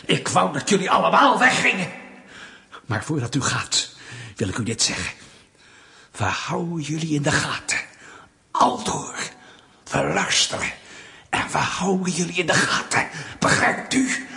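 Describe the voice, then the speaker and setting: An actor playing a patronizing, sardonic Soviet official in a radio drama, mock-polite and bullying. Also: male voice, fairly high pitch, very animated, measured pace, vocal fry, long pauses, pushed and bright, slightly rough, heavily acted. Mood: contemptuous, ranting. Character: menacing, condescending male Soviet state official, bureaucratic bully.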